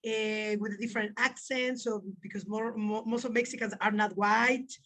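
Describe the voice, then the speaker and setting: A woman's voice: fairly high pitch, steady tone, moderate pace, fairly bright tone, no echo. Woman podcast host, dry sound. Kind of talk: interview hosting.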